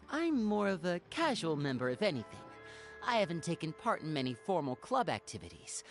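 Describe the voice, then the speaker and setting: Chill young showman type, lively voice, soft game music. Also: on the low side. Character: easygoing young performer who usually runs games. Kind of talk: video game voice acting. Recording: game audio with music behind.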